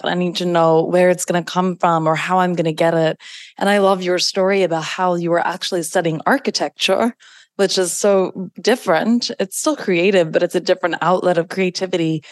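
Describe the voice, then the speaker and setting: A woman hosts a podcast and sounds warmly excited about her guest, gushing as she talks. Her voice is a little low, recorded close and dry with no room sound. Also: no echo. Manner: enthusiastic, effusive. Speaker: podcast host. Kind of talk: podcast interview.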